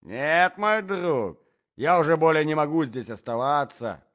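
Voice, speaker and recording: A man's voice: a little high, expressive, unhurried, fairly bright tone, lo-fi sound, voice pushed up. A man voicing a bewildered, vain old prince in a storybook reading, muddled and plaintive, with affected aristocratic manners. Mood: plaintive distress. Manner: muddled, plaintive, lingering on words, affected French phrases.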